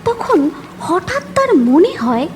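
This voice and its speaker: Animated, high-voiced woman storyteller. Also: slow pace, background noise. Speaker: narrator. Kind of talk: dramatic scripted cartoon story narration.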